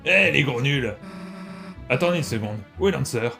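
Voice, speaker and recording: A man's voice: mid-range voice, expressive, moderate pace, creaky, over music. A man playing a brash, taunting monster bully girl. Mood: annoyed, worried. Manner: blunt, taunting, slangy. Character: brash tough female monster bully, gruff, proud villain. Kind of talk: amateur comic dub acting.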